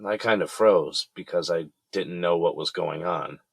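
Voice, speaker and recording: A man's voice: sits low, measured pace, creaky, slightly rough, no echo, heavily acted. A man playing a weary middle-aged defendant who explains himself in an earnest, conversational way in an audio drama. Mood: subdued, confiding.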